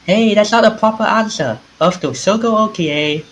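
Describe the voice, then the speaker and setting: Man putting on a high, fussy young woman. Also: normal speed, a little hiss or hum, rough recording. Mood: scolding, urging. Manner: animated, pushy, questioning. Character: fussy, concerned young woman, occult enthusiast, 23. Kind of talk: amateur visual novel character reading.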